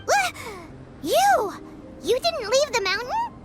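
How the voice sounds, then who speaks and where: Game role: tiny cheeky fairy sidekick, very high squeaky voice, animated delivery, music behind. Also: female voice, slow, exaggerated voice. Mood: indignant. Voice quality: squeaky, childlike. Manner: speaks of self in third person, exclamatory. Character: small childlike fairy companion, chirpy, cheeky, easily indignant. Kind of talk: video game voice acting.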